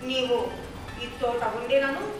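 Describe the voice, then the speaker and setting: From far off in a very echoey space comes a devoted princess acted by a woman, extremely high-pitched. She declaims in formal, drawn-out folk puppet theatre style. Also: steady tone. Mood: courteous. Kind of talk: declamatory Telugu folk puppet theatre, stylized old diction. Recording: echoey hall, distant mic, music behind.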